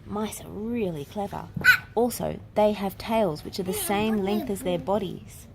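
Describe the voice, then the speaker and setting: Woman reading as a patient young schoolboy, clear noise. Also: medium pitch, measured pace, voice pushed up. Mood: proud. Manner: earnest, explanatory. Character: patient, explaining older brother, young schoolboy.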